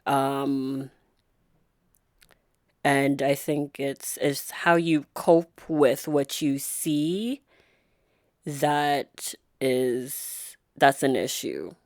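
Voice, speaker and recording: A woman being interviewed as a guest, a nurse, speaking in a soft-spoken, thoughtful way with frequent pauses and fillers. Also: very deep voice, no room sound, clean studio sound. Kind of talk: reflective interview conversation.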